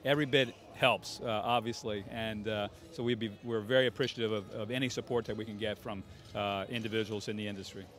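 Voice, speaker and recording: A man in his forties speaking for a charity program in an interview, halting and full of fillers, into a handheld mic. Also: on the low side, steady tone, a bit bright. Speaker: charity representative. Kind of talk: interview answer.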